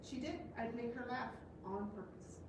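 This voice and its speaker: A woman's voice: on the high side, normal speed, a little mellow. An older woman in a crafting group, chatting casually, teasing and blunt in her opinions. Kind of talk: casual chat. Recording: echoey room with clear background noise.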